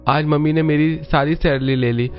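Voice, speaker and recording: A man voices a mild young husband in a cartoon family drama. He sounds earnest and downhearted, fairly high in pitch, in slightly dull audio with music behind. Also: fairly even intonation, moderate pace, lightly acted. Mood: troubled. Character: dutiful, downhearted young married son.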